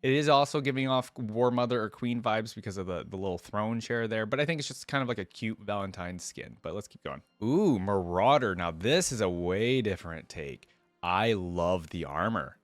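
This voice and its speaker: A man's voice: on the low side, expressive, moderate pace, no echo. A man hosting a reaction video, commenting casually and informally as he muses and thinks aloud.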